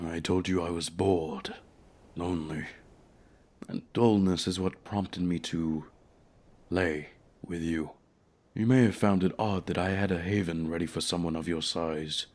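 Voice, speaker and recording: A man voicing an ancient, lonely, haughty male dragon in a fantasy audio roleplay, speaking in a formal, measured, courteous way. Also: low voice.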